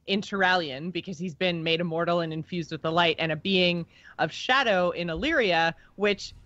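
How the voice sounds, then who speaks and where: A woman's voice: lowish voice, normal speed, fairly bright tone, no room sound, highs cut off. A woman co-hosting a podcast in casual chat, talking enthusiastically with plenty of slang. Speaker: podcast co-host. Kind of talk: casual chat about game lore.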